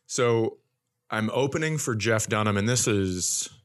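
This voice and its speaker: A man sharing comic anecdotes in casual podcast chat, with a halting, wry way of talking. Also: fairly low pitch, clear, resonant tone. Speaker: comedian, podcast co-host.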